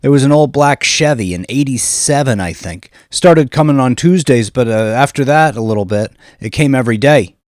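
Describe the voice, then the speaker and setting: A man's voice: medium pitch, animated, moderate pace, hardly any pauses, a little mellow, no room sound, studio quality, pitched above the performer's own voice, close to an everyday voice. A man voicing a big, good-natured nightclub bouncer who talks in hesitant, deferential street slang for an audio story. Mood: earnest, recalling.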